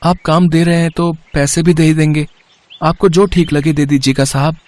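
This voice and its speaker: A man's voice: a little high, flat delivery, moderate pace, no room sound, natural voice. A man voicing a poor, humble young beggar, deferential and earnest, in a moral story. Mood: humble, grateful. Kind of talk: Hindi moral story voice acting.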